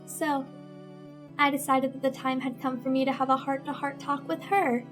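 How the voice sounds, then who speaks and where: A woman's voice: high voice, steady tone, normal speed, natural voice. A woman playing a bitter, scheming teenage girl in a melodramatic old-time radio suspense play, with music under her. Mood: scheming narration. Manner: melodramatic, resentful. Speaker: narrating lead in a radio melodrama.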